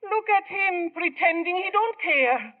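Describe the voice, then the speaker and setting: A woman's voice: very high voice, expressive, unhurried. A woman acting in a 1940s radio drama as a proud, scolding older mother, emphatic and nagging. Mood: proud, insistent. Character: bossy, proud, scolding old mother of ballplayer. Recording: tinny, narrow-band old radio recording.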